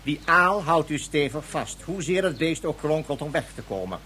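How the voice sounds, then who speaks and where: A man's voice: a little high, animated, moderate pace, pushed and bright, a little hiss or hum. A man playing a pedantic, obedient court official in a science fiction radio play, speaking formally and ceremoniously. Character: pedantic, obedient male court official, explaining strict protocol.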